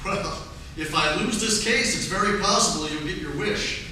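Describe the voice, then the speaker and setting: A man's voice: sits high, moderate pace, bright, forceful tone. An actor playing an exasperated, defensive male prosecuting attorney in a 1940s-style radio play on stage. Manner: exasperated, insistent. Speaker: community theatre actor. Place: community theatre stage performance. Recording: echoey hall, distant mic, faint noise.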